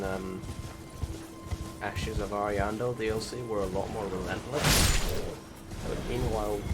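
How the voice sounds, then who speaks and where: A man's voice: lowish voice, gravelly. A man giving casual, rambling gameplay commentary as a voice-over, hesitant, thinking aloud and correcting himself. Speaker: gaming YouTuber. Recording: loud game music behind the voice. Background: loud video game music.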